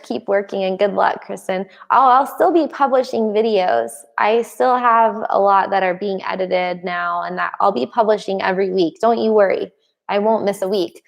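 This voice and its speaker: A young adult woman chats through a live Q&A, reading viewer comments aloud and answering in a loose, friendly way. Her voice sits at a middle pitch with a noticeable gravelly fry. She laughs often between remarks. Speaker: live stream host. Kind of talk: casual live Q&A chat.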